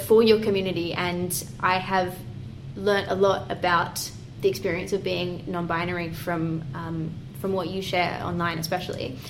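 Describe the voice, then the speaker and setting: A woman's voice: lowish voice, a little hiss or hum, poor audio. Encouraging woman interviewer, hesitant phrasing. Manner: warm and encouraging, with hesitant "um"s and "kind of". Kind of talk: conversational interview.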